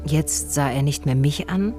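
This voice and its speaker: An observant investigator telling her story in a radio drama. Her voice is slightly low. She narrates reflectively and probes in dialogue, with clear music in the background. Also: unhurried. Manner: reflective, probing. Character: observant, probing female amateur investigator and narrator. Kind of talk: scripted first-person narration, radio drama.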